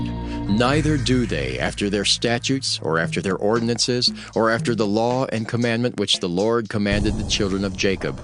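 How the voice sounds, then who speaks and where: A man narrating scripture as a solemn dramatized story. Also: on the low side. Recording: music bed under the voice.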